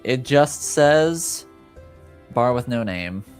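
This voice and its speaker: A man running a tabletop roleplaying game as game master, narrating conversationally and often pausing mid-sentence. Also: mid-range voice, animated, faint music behind.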